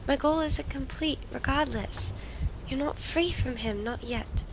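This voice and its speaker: A woman's voice: high-pitched, unhurried. A woman playing an earnest, wounded, devoted boy in an audio drama, speaking imploringly and intimately. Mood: gentle, resolute. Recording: faint background noise and ambience.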